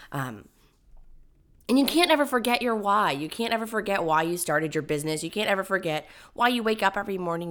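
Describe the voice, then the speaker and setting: Low raspy-voiced woman podcast host. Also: fairly bright tone. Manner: high-strung, emphatic, self-deprecating. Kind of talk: solo podcast monologue.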